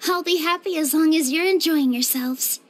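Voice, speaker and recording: A woman's voice: high-pitched, normal speed, a little hiss or hum, close to an everyday voice. A woman voicing a gracious young feast host. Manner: gracious, gently excited. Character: warm young dancer hosting a feast, gracious. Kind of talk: game cutscene dub.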